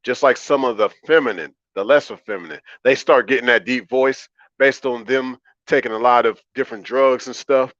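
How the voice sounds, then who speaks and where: A man with a deep baritone voice talking calmly and conversationally as a livestream host, repeating phrases for emphasis. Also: normal speed, creaky, few pauses. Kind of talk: conversational livestream monologue, answering chat. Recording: roomy, slightly dull lo-fi audio.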